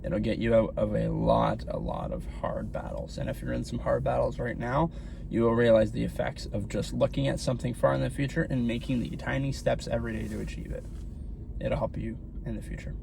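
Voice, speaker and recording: A man's voice: mid-range voice, fairly even intonation, a little fast, creaky, background noise. A man around thirty giving casual motivational advice to the camera while driving, talking in a rambling way. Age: 30s. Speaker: vlogger. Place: in a car.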